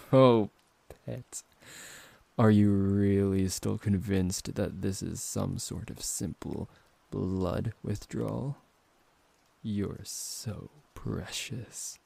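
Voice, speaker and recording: A man acting out a smooth-talking, possessive vampire professor in an intimate ASMR roleplay, politely condescending and teasing, close to the microphone. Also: fairly low pitch, extremely slow, mellow, no room sound, exaggerated voice. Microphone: close microphone, dry.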